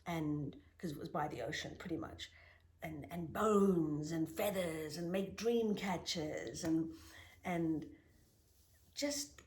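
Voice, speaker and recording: A middle-aged woman whose mother tongue is Greek, telling reflective stories in an interview with emphatic rises and soft whispered asides. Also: deep voice, slow pace. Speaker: guest, spiritual healer.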